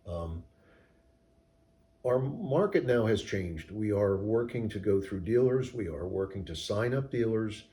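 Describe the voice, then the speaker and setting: A man giving measured, technical, explanatory answers in an interview as a company co-founder. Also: on the low side, expressive, dark tone. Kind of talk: interview answers about product design.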